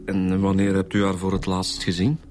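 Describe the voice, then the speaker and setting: Male actor as Flemish detective, lowish pitch, measured, polite, tentative, radio drama, faint music. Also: monotone, darkish tone, natural voice. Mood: probing, polite. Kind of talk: Dutch-language radio drama acting.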